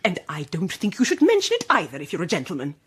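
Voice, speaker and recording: An actress playing a haughty, prim English duchess who speaks with formal gentility in a radio comedy-drama. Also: female voice, fairly low pitch, wildly swooping pitch, fast, breathy edge, exaggerated voice. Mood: prim, reluctant. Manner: haughty, formal, primly genteel.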